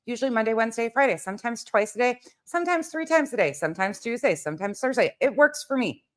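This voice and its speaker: A woman's voice: a little high, animated, quick pace. Blunt, sweary woman livestreamer. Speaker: livestream host. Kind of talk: live reaction commentary.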